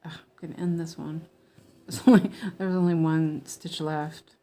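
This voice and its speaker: A woman's voice: low voice, little pitch movement, measured pace, dark tone. An American woman chatting in a rambling, meandering way with her viewers as she stitches during a casual livestream.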